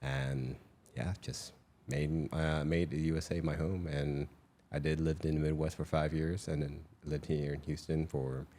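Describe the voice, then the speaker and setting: A young man from Malaysia with a very deep, low voice, hosting a podcast. He talks in a loose, rambling way, often restarting his sentences mid-thought. The chat feels easygoing and unscripted. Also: flat delivery, measured pace, warm tone. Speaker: podcast host. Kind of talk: casual interview chat.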